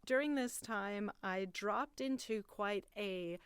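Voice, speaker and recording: A woman's voice: medium pitch, takes it slowly, clear, resonant tone, no echo. A young woman from Canada, a voice actor, telling her life story in a chatty, upbeat monologue. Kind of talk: personal bio monologue. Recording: dry, clean studio audio.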